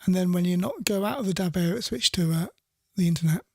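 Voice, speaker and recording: Middle-aged man, casual radio host chat, creaky voice, rambling and repetitive, dry close sound. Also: high voice, quick pace, warm tone, dry recording.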